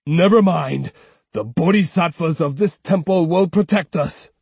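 Male narrator playing a withered old swordsman, defiant, slow and husky. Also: fairly high pitch, a little mellow, no room sound, voice pushed up, heavily acted. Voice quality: withered, thin. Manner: defiant, declaiming. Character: frail stubborn old man with a sword. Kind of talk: audiobook character voice.

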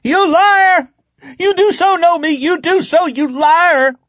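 A man's voice: very high voice, slow, a bit bright, no echo, lo-fi sound, voice pushed up, heavily acted. A man voicing a desperate young man who babbles and pleads in panic, in an audiobook. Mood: panicked outrage. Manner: babbling, frantic begging. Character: desperate young male drug user, pleading and screaming.

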